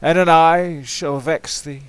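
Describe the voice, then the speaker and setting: A man giving a theatrical, impassioned recitation of poetry, swinging between hushed and ringing delivery. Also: on the high side, steady tone, slow pace, clear, resonant tone, no room sound. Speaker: amateur poetry reader.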